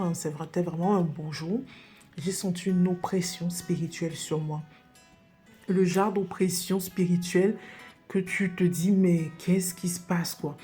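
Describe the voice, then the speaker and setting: A woman's voice: lowish voice, warm tone, breathy edge. A woman from Cameroon sharing a personal faith testimony as a podcast guest, earnest and hesitant, with frequent fillers and restarts.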